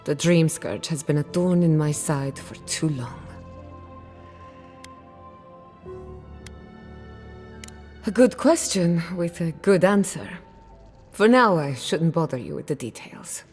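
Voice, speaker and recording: An actress playing a bold, stubborn young outcast scholar in a video game, brisk and wry. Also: female voice, deep voice, animated, a little mellow. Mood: defiant. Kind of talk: video game cutscene dialogue. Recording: music behind the voice. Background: game music.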